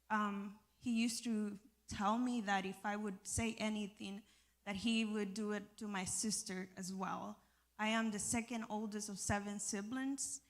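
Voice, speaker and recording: A young adult woman from El Salvador giving an earnest, informal personal testimony to a live audience, speaking haltingly. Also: medium pitch, a bit bright.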